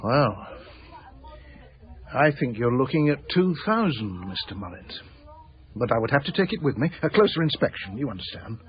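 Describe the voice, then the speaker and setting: A man plays a smooth-talking, somewhat rueful art dealer in a radio play. He is well-spoken and careful, in a medium-pitched voice with slightly dulled broadcast sound. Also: very animated, moderate pace, muffled recording, lightly acted. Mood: smooth, persuasive. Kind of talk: radio drama dialogue and narration.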